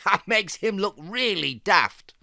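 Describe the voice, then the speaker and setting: A performer voicing a jaunty, fussy fox who gives playful, step-by-step drawing lessons for children in a theatrical, deliberate, encouraging way. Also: medium pitch, expressive, vocal fry, pushed and bright, dry recording, studio quality, heavily acted. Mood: playfully bossy. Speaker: presenter of children's drawing tutorial.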